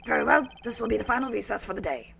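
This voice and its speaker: A woman voicing a fussy, easily flustered older male judge, with a gravelly, pompous manner. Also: fairly high pitch, animated, very fast, a bit bright, a little hiss or hum, full character voice. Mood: stern. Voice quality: gravelly creaky voice.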